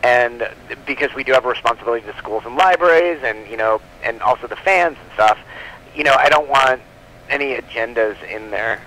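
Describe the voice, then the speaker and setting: A man's voice: mid-range voice, brisk, sharp tone, poor audio. A man speaking as an interview guest in a casual, rambling and giggly way. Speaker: comic book publisher, interview guest.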